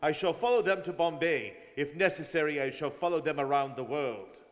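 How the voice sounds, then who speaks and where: A man's voice: on the high side, a little fast, natural voice. A man playing a suspicious, self-assured Scotland Yard detective in an old-time radio drama. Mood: insistent. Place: performed live in a studio. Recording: long hall reverb on stage voices.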